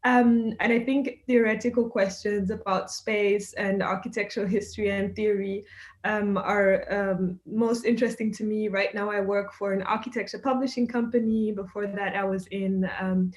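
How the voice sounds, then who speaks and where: Young woman, from Zimbabwe, guest in online discussion, measured, thoughtful, medium pitch. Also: fairly even intonation. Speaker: guest, architect. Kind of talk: informal self-introduction in an online discussion.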